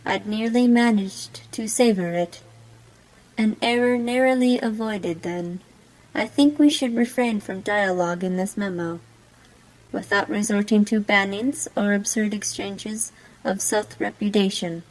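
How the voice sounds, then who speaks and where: A woman playing a bored, fatalistic young troll girl in an amateur monologue, speaking formally and deliberately. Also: medium pitch, darkish tone, pitched below the performer's own voice, natural voice, rough recording. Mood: bored, resigned. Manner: formal, deliberate, resigned wording.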